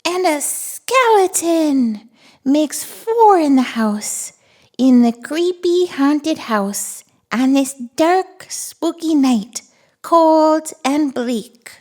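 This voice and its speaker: A woman voicing a friendly, excitable little monster who reads picture books to toddlers with gleeful wonder. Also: high-pitched, heavily acted. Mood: playfully spooky. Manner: gleeful, giggly, breathless wonder, drawn-out words. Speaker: children's read-aloud host. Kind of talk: animated picture-book read-aloud for toddlers.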